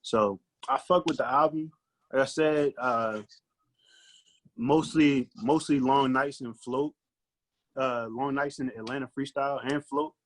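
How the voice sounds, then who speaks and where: A man's voice: mid-range voice, steady tone, measured pace, rough recording. A man with an American accent, a guest giving a casual album review in slang, thinking aloud as he goes. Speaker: podcast guest, recording artist.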